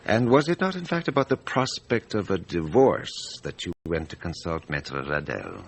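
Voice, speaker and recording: Deep-voiced, formal examining magistrate. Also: male voice, sing-song, vocal fry, a little hiss or hum, heavily acted. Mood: probing, insistent. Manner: formal, deliberate questioning with hesitations. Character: formal examining magistrate, male, probing and courteous. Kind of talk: radio drama acting.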